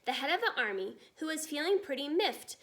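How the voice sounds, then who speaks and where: A woman reads a children's story aloud as narrator. Her voice is high, and her scripted delivery is expressive, with lively movement in pitch. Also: fairly quick. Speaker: storybook reader.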